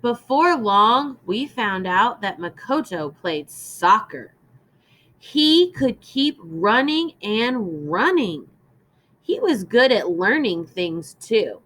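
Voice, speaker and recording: Woman, teacher, children's read-aloud lesson, unhurried pace, animated intonation, instructive, deliberate phrasing. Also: a little high. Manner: instructive, deliberate, prompting listeners with questions. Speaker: teacher reading a story aloud.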